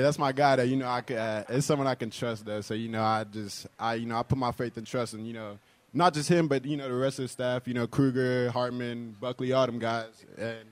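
A young man from Hong Kong, a college basketball player, answering questions as a guest in a relaxed, easygoing way. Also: medium pitch, no echo. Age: young adult. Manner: relaxed, lots of "you know".

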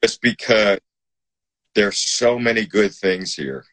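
A man's voice: on the low side, animated, slow pace, a bit bright. A man hosting a casual live-stream interview, talking in an admiring, emphatic way. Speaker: host.

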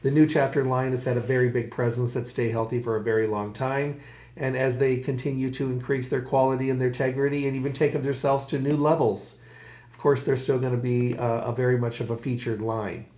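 A man's voice: medium pitch, fairly even intonation, brisk, darkish tone, reverberant, lo-fi sound. A man hosting a radio talk show, folksy and rambling with many restarts. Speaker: radio host. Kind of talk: casual radio chat.

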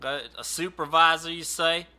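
A man playing a dim rural customer service rep on the phone, speaking in a put-on Southern drawl with a slow, stammering, folksy manner. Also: on the high side, steady tone, bright, forceful tone, smooth.

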